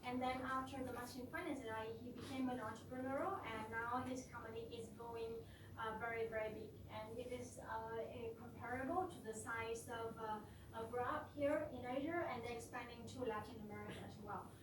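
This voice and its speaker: A woman with a high voice introduces the evening's speaker, often pausing to fill gaps. She sounds distant from the microphone. The room is reverberant, with clear background noise. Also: fairly even intonation. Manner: hesitant, many fillers. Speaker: admissions director introducing the speaker. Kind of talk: event introduction. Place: hotel conference room. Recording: echoey room, distant mic, audible noise.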